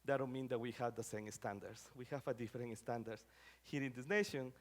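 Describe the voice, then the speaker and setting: A middle-aged man from Rica, giving an academic talk in a conversational way with many fillers and phrasing. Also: medium pitch. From Costa Rica. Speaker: scholar giving a lecture.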